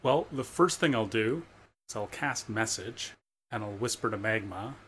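A man player calmly declaring his character's actions. His voice has a bright, forceful edge, with faint noise behind. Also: on the low side, normal speed. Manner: quiet, matter-of-fact declarations.

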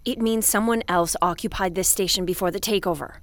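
Skeptical space commander, played by a woman: mid-pitched, dry close sound, crisp host-like formality, audio drama. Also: brisk, a bit bright, dry recording, close to an everyday voice. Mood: wary. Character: brisk, skeptical female space commander hosting call-in archive.

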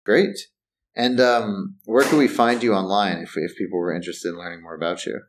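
A man's voice: low voice, fairly even intonation, fairly quick. A man hosting a podcast, upbeat and casual, asking questions and saying "right?" often. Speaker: podcast host.

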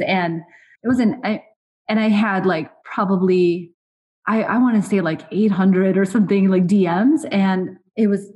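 A talkative woman whose first language is Arabic, speaking in an easygoing interview. Her pitch sits in the middle and she strings thoughts together with lots of fillers. She livens up when telling a story. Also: a little mellow. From outside the United States. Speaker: food blogger guest. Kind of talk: casual interview chat.

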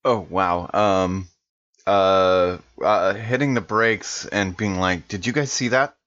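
A man's voice: sits low, fairly even intonation, takes it slowly, a bit bright. A man playing a tabletop game, improvising casually in character, on a slightly dull recording.

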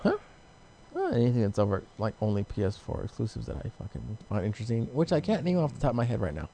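A man's voice: fairly low pitch, wildly swooping pitch, fast talker, vocal fry, dark tone, slight background noise. A man chatting casually about video games as a co-host, blunt, profane and prone to wandering off on tangents.

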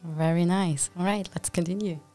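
A woman's voice: lowish voice, steady tone, moderate pace, dark tone, faint music behind. A woman hosting a podcast, talking casually as she interviews her guests. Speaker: podcast host.

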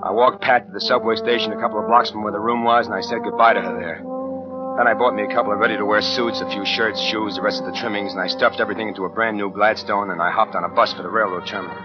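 An actor playing a tough, jittery killer on the run in a 1940s radio noir, with clipped, slangy delivery. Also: male voice, fairly low pitch, fairly even intonation, fast talker, lightly acted. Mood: reflective. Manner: slangy, clipped, hard-boiled. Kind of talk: 1940s radio noir acting, first-person narration. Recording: dull vintage 1940s radio with music.

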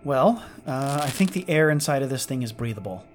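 A man's voice: mid-range voice, animated, brisk, natural voice. A man playing a sardonic, irritable starship lieutenant stranded after a disaster, muttering in exasperation in a science fiction audio drama. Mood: tense, cautious. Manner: exasperated, muttering, hesitant asides. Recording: clearly noisy track with clicks.

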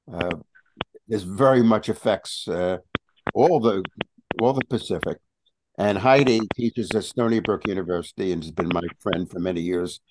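A man talking as a guest on a video call, halting with many pauses and restarts. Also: lowish voice, background noise. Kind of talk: casual talk over video call.

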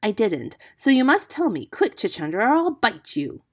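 Woman as a bold, scornful mongoose, pitched up. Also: sing-song, slightly rough. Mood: impatient. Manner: brisk, scornful, insistent. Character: bold, scornful young male mongoose, snake killer.

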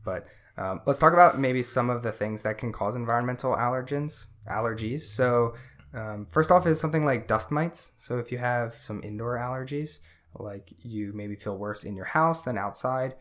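A man's voice: mid-range voice, normal speed, a bit bright. A man co-hosting a podcast, explaining in a casual chat with many fillers. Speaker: podcast co-host.

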